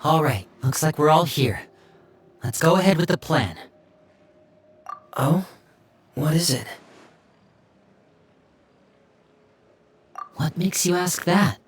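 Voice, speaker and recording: An actor playing a composed, courteous young man who leads a covert team in a video game, speaking in a measured, diplomatic, guarded way. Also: on the high side, lively intonation, husky. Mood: calm, attentive. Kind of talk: video game character acting.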